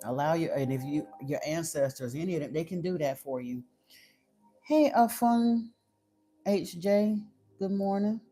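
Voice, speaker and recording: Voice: medium pitch, lively intonation, measured pace, mellow, faint music behind. A livestream host from Florida giving spiritual advice in casual chat, direct and at times blunt, with frequent fillers and restarts. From Florida, United States. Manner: chatty and direct, turns blunt and stern. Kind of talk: casual livestream chat answering viewer comments.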